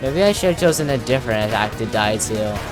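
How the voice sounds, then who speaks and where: Female gaming creator, low voice, casual and jokey, given to mock-dramatic asides, clear music underneath. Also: animated, brisk. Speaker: gaming video creator commenting on gameplay. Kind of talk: casual, playful gameplay commentary.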